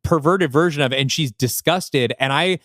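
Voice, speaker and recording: A man's voice: fairly high pitch, moderate pace, fairly bright tone, no room sound, studio quality. A man co-hosting a podcast, speaking casually in an emphatic, decisive way. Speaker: podcast co-host. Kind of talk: casual creative discussion.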